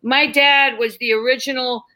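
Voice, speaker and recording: A woman with a New York accent, telling lively stories as a podcast guest and acting out the people in them. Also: sits high, normal speed. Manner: animated, acts out voices in her stories. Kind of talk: casual storytelling chat.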